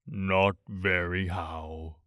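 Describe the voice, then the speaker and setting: A man's voice: sits low, flat delivery, a bit bright, dry recording, studio quality, pitched below the performer's own voice, full character voice. A man voicing a gloomy, mournful donkey in a storybook, drawing out his words in a despondent way. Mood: gloomy, resigned. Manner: drawn-out, despondent, sighing delivery. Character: gloomy, slow, mournful male old donkey.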